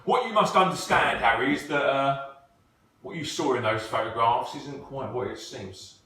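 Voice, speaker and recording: Man acting an boss type, hesitant n polite, average pitch, super echoey. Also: moderate pace, pushed and bright, close to an everyday voice. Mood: genial, awkward. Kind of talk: amateur stage play acting, recorded at home. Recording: very echoey room, faint noise.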